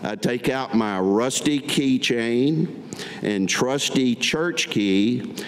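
Slow-paced older man reading, echoey hall. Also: medium pitch. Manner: deliberate, pausing between short phrases. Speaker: veteran reading his own writing. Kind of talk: staged poetry reading. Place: indoor meeting room at a podium. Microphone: podium gooseneck microphone. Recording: echoey hall with audible room noise.

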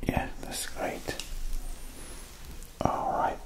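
A man plays a doctor in ASMR style, whispering in a soft, breathy voice. His delivery is very unhurried and reassuring. The close whisper carries a noticeable echo of the room. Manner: slow, gentle, reassuring. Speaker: ASMR performer playing a doctor. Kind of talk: whispered ASMR medical roleplay.

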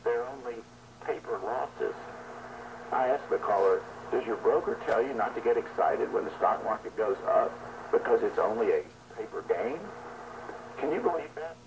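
Guy on the radio pitching advice, far off from the mic, pretty noisy. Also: animated, normal speed. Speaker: financial radio show presenter. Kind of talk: persuasive radio advice monologue. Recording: distant noisy old radio playback.

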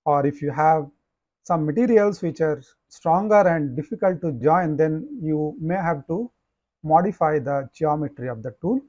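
A man, a professor giving a slide lecture, explaining in a measured way with frequent "uh" and "you know". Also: a little high, a little mellow, dry recording.

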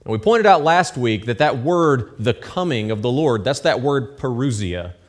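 A pastor in his thirties preaching from a pulpit in a church. He explains scripture in a relaxed, conversational way. He adds small asides and checks that the listeners are keeping up. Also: medium pitch, moderate pace. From United States. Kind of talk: sermon. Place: church stage with pulpit, indoors. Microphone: lectern mic at pulpit.

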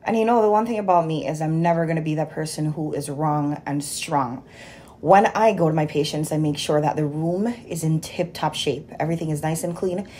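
A woman's voice: low voice, a little hiss or hum. A young woman in her twenties from Jamaica, a nurse telling a work story in a casual vlog, recorded on a phone held at arm's length in a small room at home. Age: 20s. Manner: animated, exasperated storytelling. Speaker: nurse vlogger.

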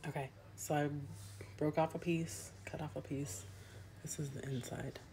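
A woman's voice: deep voice, steady tone, normal speed, warm tone. Chatty woman reviewing pastries at home. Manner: chatty, eats and describes between bites. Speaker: food vlogger. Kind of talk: casual food review vlog. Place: indoors at home, small room. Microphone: phone held at arm's length.

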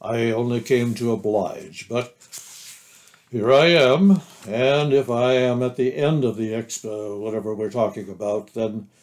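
Morose storybook donkey, voiced by a man: put-upon, halting grumbler, resigned, middle-pitched. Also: a little mellow, natural voice. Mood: gloomy, resigned.

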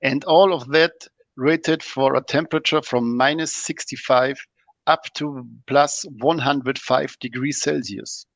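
Man, podcast guest, methodical, gravelly voice. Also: medium pitch, fairly even intonation, a little slow, a bit bright, no echo. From Germany. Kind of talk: technical explanation.

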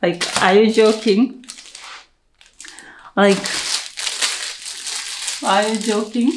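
A woman's voice: medium pitch, fairly even intonation, very slow, noisy background. A young woman with a Kenyan accent, chatting playfully and gushing to camera in her living room, recorded on the camera's own mic from a few feet away. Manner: playful, gushing, self-hyping. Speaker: vlogger. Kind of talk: casual chat to camera. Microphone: camera mic at a distance. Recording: echoey room.

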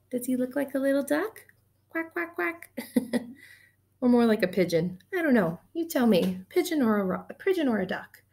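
A woman in her thirties, a teacher reading a picture book aloud to children in an animated, gushing way, recorded on a webcam in a small room at home. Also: sits high, a little slow, long pauses. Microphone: webcam at desk distance.

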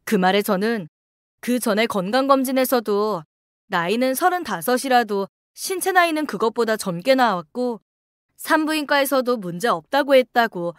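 Female story narrator, slightly high voice, dry close sound, scripted first-person reading. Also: animated, moderate pace, clear, resonant tone, no echo.

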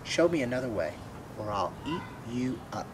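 A man's voice: mid-range voice, sing-song, moderate pace, background noise, natural voice. A man voicing a small, bold, curious kitten in a children's storybook, with a questioning delivery. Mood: demanding. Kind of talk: storybook read-aloud.

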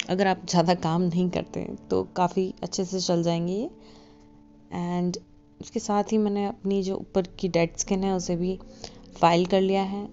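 A woman giving a relaxed, casual voice-over for a nail tutorial, slipping English phrases into her talk. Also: on the low side, gravelly. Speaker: beauty vlogger. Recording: dull lo-fi voice-over with faint music.

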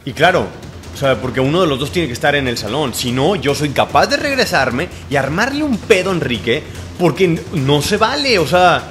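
A man in his thirties with a Mexican accent, talking on a reality show in an expressive, exasperated way. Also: a little high, normal speed. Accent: Mexican Spanish. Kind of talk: confessional reality-show talk. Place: indoor apartment at night. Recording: background music under voice.

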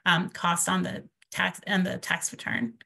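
A woman's voice: on the low side, expressive, unhurried, creaky, pushed and bright. A woman presenting a webinar over a video call, explaining programs slide by slide with frequent ums. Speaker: presenter from a financial nonprofit.

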